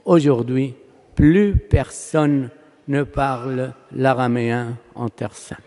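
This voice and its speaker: A Palestinian man, a native Arabic speaker with a Palestinian Arabic accent, preaching a sermon as a priest in an emphatic, oratorical manner with rhetorical questions and pauses. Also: mid-range voice, steady tone, mellow. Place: large church, preaching to a congregation. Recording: long reverberant church hall echo.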